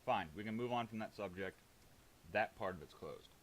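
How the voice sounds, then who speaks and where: A man's voice: on the low side, moderate pace, fairly bright tone. A man in a heated voice-chat argument, blunt, defensive and swearing, on a hissy recording.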